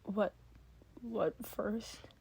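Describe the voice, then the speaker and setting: Woman as a halting, husky teenage boy. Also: mid-range voice, steady tone, a little mellow, full character voice. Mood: reluctant, uneasy. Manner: halting, hesitant, repeats words. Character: guarded, awkward half-ghost teenage boy.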